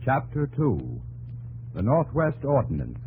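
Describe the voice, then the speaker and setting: A man's voice: mid-range voice, wildly swooping pitch, a little slow, narrow, tinny audio, heavy background noise. A man giving solemn, theatrical narration in the style of a 1950s radio drama. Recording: old 1950s radio broadcast recording.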